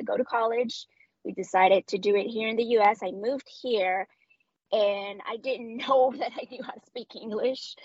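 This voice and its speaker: A woman from Medellín, Colombia, with a Colombian accent and Spanish as her first language, speaking as a guest in a casual interview, often pausing and restarting. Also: mid-range voice, breathy edge, no room sound, lo-fi sound. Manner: hesitant, many restarts and fillers. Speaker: guest, customer success director.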